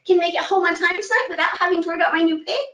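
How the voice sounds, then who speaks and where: A woman voicing an anxious little old woman in a folk tale, who talks faster the more worried she gets. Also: very high voice, fairly bright tone, reverberant, recorded from a distance. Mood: pleading.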